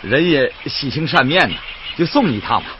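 A man's voice: mid-range voice, expressive, a little fast, noisy background, lightly acted. An actor playing a kindly, principled boatman in a radio drama, with a folksy, earnest Northeastern Chinese accent. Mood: reflective, warm. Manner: folksy, earnest, plain-spoken. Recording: dull radio recording with loud music.

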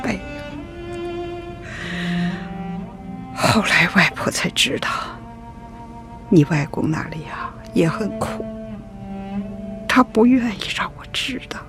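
A woman playing a long-suffering old grandmother in a radio drama, recalling the past in a halting, sighing, wistful way. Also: mid-range voice, expressive, whispered, natural voice. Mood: sorrowful. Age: older. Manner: halting, sighing, reminiscent delivery. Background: cello and string music.

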